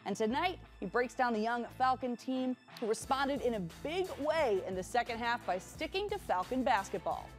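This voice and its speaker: A woman anchor narrating basketball highlights in a crisp newscast style. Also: animated, normal speed, few pauses, soft background music. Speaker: news anchor. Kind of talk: sports news narration.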